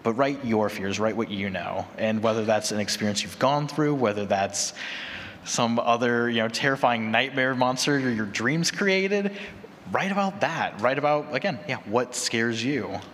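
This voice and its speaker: A man's voice: mid-range voice, lively intonation, moderate pace, a bit bright. A man speaking conversationally as moderator and podcaster at a live panel, often checking in with "you know" and "right?". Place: large conference room with audience. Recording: echoey hall with background noise.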